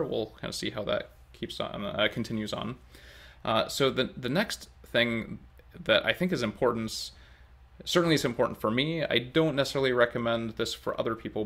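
A man's voice: lowish voice, creaky, a bit bright. A man in his thirties giving a casual, unscripted update to camera at home, rambling a little and hesitant as he thinks aloud. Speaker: language-learning vlogger. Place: open kitchen and dining room at home, indoors.

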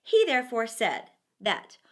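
A middle-aged woman reading aloud to children as a storyteller, with theatrical, deliberate phrasing. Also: on the high side, wildly swooping pitch. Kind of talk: expressive read-aloud for children.

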